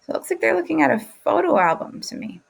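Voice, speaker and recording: A woman's voice: high-pitched, sing-song, quick pace, vocal fry. A woman teacher leading an interactive picture-book lesson for children, encouraging them and prompting with questions. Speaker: teacher reading aloud to children.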